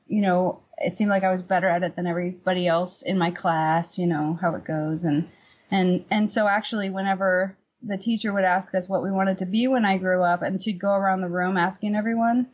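A woman's voice: on the low side, fairly even intonation, moderate pace, darkish tone. A woman from Texas talking casually as an interview guest, in a conversational, anecdotal way. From Texas, United States. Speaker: podcast guest, painter. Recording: dull, lo-fi remote-sounding audio.

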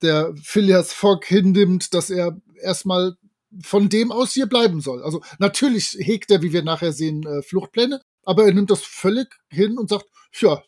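A man's voice: sits high, darkish tone, husky. A man co-hosting a podcast, discussing a story casually, hesitant and wordy with mock-formal turns of phrase. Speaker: podcast co-host. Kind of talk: casual discussion of an audio drama.

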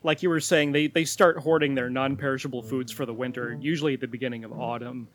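A man co-hosting a podcast, calmly explaining facts in casual chat. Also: mid-range voice, fast talker, faint music behind. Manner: calm, explanatory, laughs along. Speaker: podcast co-host.

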